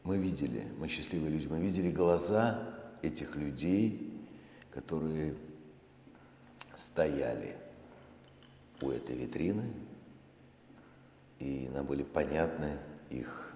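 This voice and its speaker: A middle-aged man giving a public lecture as a presenter on stage, speaking in a measured, deliberate way with pauses mid-phrase. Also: a bass voice, dark tone. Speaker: museum director presenting a project. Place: large concert hall stage, indoors. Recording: echoey hall, faint background noise.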